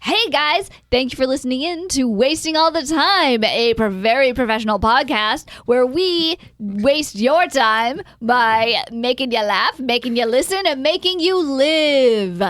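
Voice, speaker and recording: A young woman hosting a casual comedy podcast, ranting with energetic motivational fire. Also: sits high, measured pace, hardly any pauses, no echo. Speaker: podcast host.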